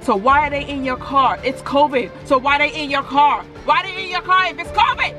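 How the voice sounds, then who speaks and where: A woman's voice: sits high, fairly quick, sharp tone, faint music behind. A woman in her twenties in casual confrontational banter inside a car, insistent and slangy, often repeating herself. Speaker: couple vlogger.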